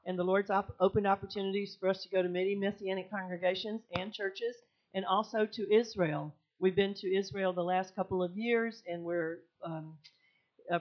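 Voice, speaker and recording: A woman's voice: mid-range voice, dull audio. An older woman speaking as a guest at a congregation, describing a healing workshop in a friendly, chatty way with fillers and restarts. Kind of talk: sermon-style talk to a congregation. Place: church sanctuary.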